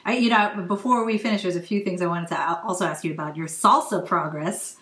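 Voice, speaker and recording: A woman from Hawaii and the West Coast hosting a casual conversation, candid and quick to laugh. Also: on the low side, echoey room. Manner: relaxed, candid, laughs often. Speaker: host.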